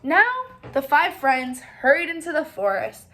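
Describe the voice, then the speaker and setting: A woman reading a children's story aloud in an expressive way, pausing to put questions to her young listeners. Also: high-pitched, slight background noise.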